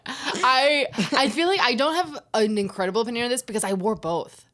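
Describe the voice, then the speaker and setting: A woman's voice: a little high, fairly quick, a bit bright. A woman in her twenties, a podcast guest in casual chat, teasing and bubbly and laughing often. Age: 20s.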